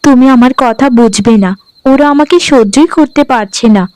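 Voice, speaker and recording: A woman voicing a gentle, dutiful young new bride in a cartoon, speaking meekly and earnestly. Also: high-pitched, moderate pace, no echo, natural voice. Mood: worried. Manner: meek, earnest, conciliatory. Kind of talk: Bengali cartoon dialogue acting.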